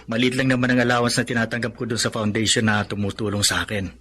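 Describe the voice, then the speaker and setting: An actor with a Filipino accent playing an earnest, hardworking young blind student in a radio drama. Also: male voice, mid-range voice, little pitch movement, quick pace, fairly bright tone, breathy edge. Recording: radio drama with noisy ambience behind.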